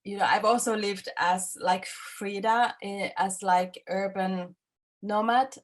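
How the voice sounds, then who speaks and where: A woman's voice: mid-range voice, fairly even intonation. Woman, hesitant filmmaker talk. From Finland. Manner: halting, many fillers and restarts. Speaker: film director. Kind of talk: festival interview, casual answers.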